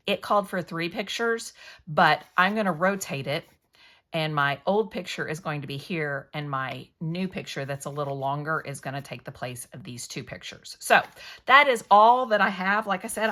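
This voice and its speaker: A middle-aged woman with a hoarse voice, casually narrating a scrapbooking tutorial at her craft table in a chatty, rambling way that often corrects itself. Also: on the low side, sharp tone. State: hoarse from yelling at a game. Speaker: scrapbooking channel host.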